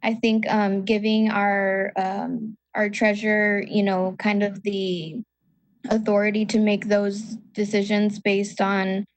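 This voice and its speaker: A woman's voice: medium pitch, flat delivery, slow pace. A woman board member explaining a proposal in a rambling way with many fillers. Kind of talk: casual meeting talk.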